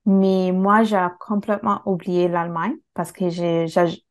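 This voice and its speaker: A woman whose first language is Bengali and who grew up in Italy speaks as an interview guest. Her voice is low for a woman. She talks hesitantly, with frequent fillers and restarts. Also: fairly even intonation, a little slow. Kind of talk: casual conversational interview.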